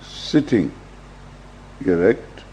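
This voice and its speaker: An older man with an Indian accent guiding a meditation as a teacher, in measured short phrases with long pauses. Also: deep voice, very animated, mellow. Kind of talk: guided meditation, slow instruction. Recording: dull lo-fi recording with faint hum.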